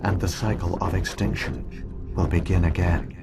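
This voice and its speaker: An actor voicing an ancient alien artificial intelligence in a video game, speaking in a formal, measured, detached way. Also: male voice, low-pitched, fairly even intonation, gravelly, over loud music. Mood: grave, explanatory. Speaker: exposition-giving game character. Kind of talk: scripted video game dialogue.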